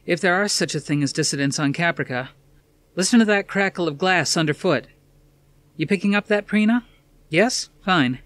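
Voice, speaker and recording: A man's voice: high-pitched, lively intonation, normal speed, fairly bright tone, no room sound, voice pushed up. A man voicing an urgent, breathless female TV news reporter in a dramatic audiobook reading. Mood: uneasy, probing. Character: panicked female TV news reporter amid an attack.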